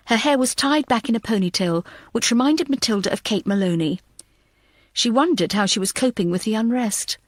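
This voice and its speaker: A woman's voice: medium pitch, dry recording. Woman narrating fiction in a dry booth. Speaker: audiobook narrator.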